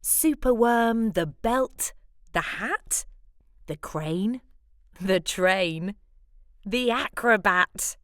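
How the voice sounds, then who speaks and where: A woman reading a children's picture book aloud as a storyteller, animated, with deliberate phrasing. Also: medium pitch, no echo, clean studio sound.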